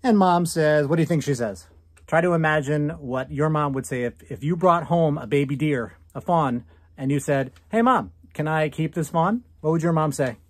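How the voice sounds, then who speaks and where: An American man in his thirties reading a picture book aloud to children, commenting and asking questions with drawn-out emphasis on key words. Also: fairly high pitch, dry recording. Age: 30s. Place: small indoor room at home.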